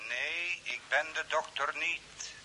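An actor playing a mysterious, secretive stranger in an old radio drama, speaking in a measured, guarded way through a radio filter. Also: male voice, fairly high pitch, pushed and bright, exaggerated voice, poor audio. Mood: cryptic, knowing. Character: mysterious, secretive male stranger calling over space radio. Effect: radio transmission filter. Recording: thin radio voice with faint hiss.

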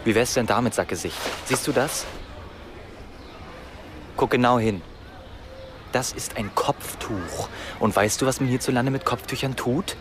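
An actor playing a remorseful, self-pitying young man, halting and defensive. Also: mid-range voice, noisy background, lightly acted. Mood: brooding.

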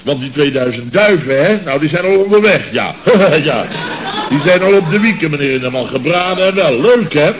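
Man playing a blustering high-voiced Dutch boss, old radio. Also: animated, normal speed, close to an everyday voice. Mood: sarcastic, agitated. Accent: Netherlandic Dutch. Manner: exasperated, sarcastic, repeats himself, laughs bitterly. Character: blustering, sarcastic male boss of a construction firm. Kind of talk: radio comedy serial acting. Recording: dull, noisy old radio recording.

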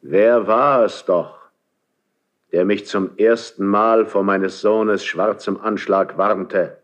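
A man's voice: fairly low pitch, steady tone, takes it slowly. An actor playing a stern, cold aging king in a classical radio play, commanding and weighty in manner. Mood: grave, suspicious. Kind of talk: classical German radio play stage acting. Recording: dull 1970s radio drama recording.

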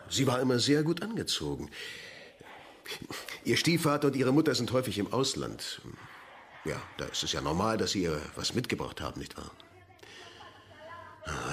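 An ingratiating school headmaster speaks hesitantly in a gravelly voice with lively swings of pitch. He is obliging and gossipy, in a radio play with slight background noise. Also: normal speed, long pauses, exaggerated voice. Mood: regretful. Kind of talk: naturalistic German radio play dialogue.

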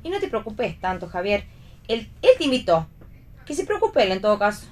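A woman with an Argentine accent playing a lively young actress, a bossy, reassuring friend, in a radio play reading. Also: medium pitch, fairly quick, lightly acted. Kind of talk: read theatre for radio.

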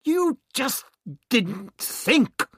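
A man's voice: medium pitch, big pitch swings, slow pace, husky, no echo, clean studio sound, pitched above the performer's own voice, exaggerated voice. A man voicing an irascible, authoritative older doctor in an audiobook story, with emphatic, old-fashioned phrasing. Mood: angry, scolding. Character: irascible, authoritative older male doctor, gruff but kindly.

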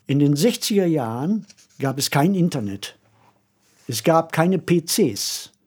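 An older man with a husky voice, co-hosting a podcast with reflective explanations. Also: on the high side, mellow. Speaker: podcast co-host.

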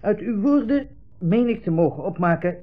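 Smug army captain on muffled old radio. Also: male voice, sits high, lively intonation, moderate pace, faint music behind. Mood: probing. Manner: hesitant hems, leading questions, condescending. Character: smug, insinuating army captain interrogating refugees. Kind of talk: 1960s Dutch radio play acting. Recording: muffled old radio recording, narrow band.

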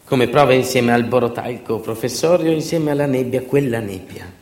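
A man performing a theatrical narrative monologue, emphatic and dramatic, with deliberate repetitions and pauses. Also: fairly low pitch, darkish tone. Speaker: stage actor and storyteller. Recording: echoey room.

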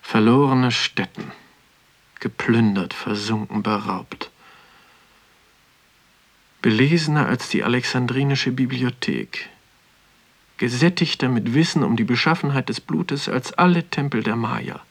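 An actor playing a pensive young man who muses aloud in deliberate, poetic phrases in a radio drama. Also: medium pitch. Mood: solemn, rapt. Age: young adult. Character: pensive, awestruck young man wandering a museum. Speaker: narrator and protagonist of a radio play. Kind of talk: literary interior monologue, radio drama.

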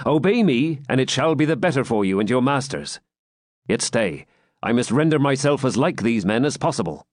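A man voicing a calm, commanding yeoman archer, speaking in a measured, authoritative way. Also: medium pitch, no echo, studio quality.

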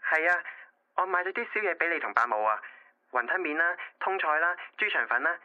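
A man's voice: fairly high pitch, animated, fast. An actor playing a shy, considerate young man with a Hong Kong accent, heard through a telephone filter in a radio drama. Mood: shy, caring. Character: considerate young man secretly in love, on the phone. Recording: thin, tinny telephone-line sound.

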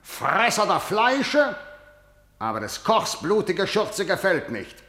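Domineering warlord king, commanding, lively pitch, roomy room. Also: slow, exaggerated voice. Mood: scornful. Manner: commanding, scornful. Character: harsh, domineering male ruler and warlord. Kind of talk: classical verse radio drama. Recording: roomy studio echo.

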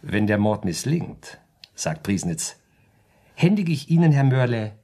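An actor playing an urbane, pedantic lawyer in a radio play, reasoning coolly and politely in a precise, lecturing manner. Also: male voice, medium pitch, sing-song, measured pace, mellow. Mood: smug, reasoning. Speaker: lawyer giving legal advice. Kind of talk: German radio play dialogue.

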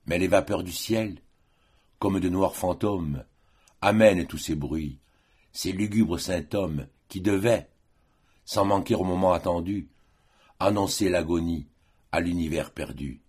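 A man's voice: deep voice, a little mellow, slightly rough, full character voice. A man voicing a tender, solemn lover who consoles his beloved in declamatory poetic recitation.

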